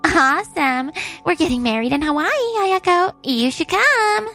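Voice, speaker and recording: A woman's voice: high voice, sing-song, measured pace, creaky, a bit bright, soft background music, heavily acted. A woman voicing a smug, spiteful young mistress in a dubbed manga drama. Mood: gloating, giddy.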